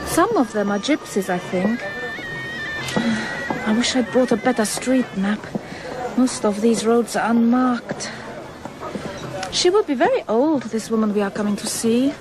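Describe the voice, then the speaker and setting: Radio drama: actress as determined, coaxing younger woman, upbeat, on the higher side. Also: measured pace, pauses often, music in the background, lightly acted, poor audio. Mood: curious, bright. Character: sweet-seeming, stubborn, coaxing younger woman.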